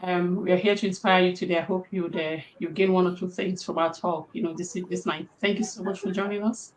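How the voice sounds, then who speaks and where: Woman, friendly, a bit halting. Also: on the low side, fairly even intonation, slight background noise, low-quality recording. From Nigeria.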